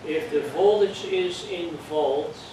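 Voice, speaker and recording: A man's voice: sits high, takes it slowly. An older man from the Netherlands with a Dutch accent, teaching an amateur radio class and explaining step by step in a patient way. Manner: plain, patient explaining with jokes and check-ins. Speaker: amateur radio course instructor. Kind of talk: classroom lecture. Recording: echoey room from far off, noisy.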